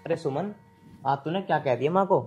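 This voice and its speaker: A man's voice: on the high side, brisk, a little mellow, soft background music, close to an everyday voice. A man playing a young husband caught between his wife and mother in a family drama skit, coaxing and insistent.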